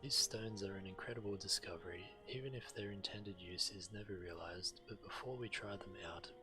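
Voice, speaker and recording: A man's voice: low voice, rough recording. A man who streams games, reading quest text aloud and commenting as he plays. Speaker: game streamer. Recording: game music behind the voice. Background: video game music.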